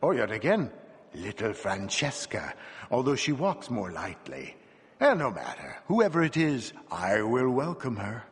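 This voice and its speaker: A man's voice: medium pitch, wildly swooping pitch, unhurried, husky, full character voice. A man voicing a vain, gallant circus acrobat and ladies' man in a theatrical, courtly manner, in a story reading. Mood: smug, expectant. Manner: theatrical, smug, courtly, incredulous exclamations. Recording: reverberant hall with long echo.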